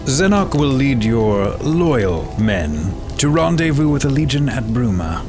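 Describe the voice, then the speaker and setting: A man playing a menacing, commanding cult lord in a fantasy audio drama, giving orders in an imperious, deliberate way. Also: mid-range voice, very animated, music in the background. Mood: cold, commanding.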